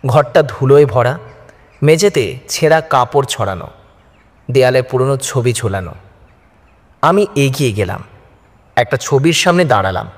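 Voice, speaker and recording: A man's voice: mid-range voice, expressive, normal speed, long pauses. A man narrating a first-person horror story in a suspenseful, theatrical style. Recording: music behind the voice. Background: background music.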